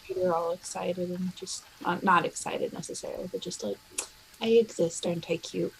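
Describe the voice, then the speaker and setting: A woman's voice: on the low side, steady tone, brisk, creaky, slight background noise, poor audio. A woman playing a tabletop role-playing game, chatting casually as she describes what her character does.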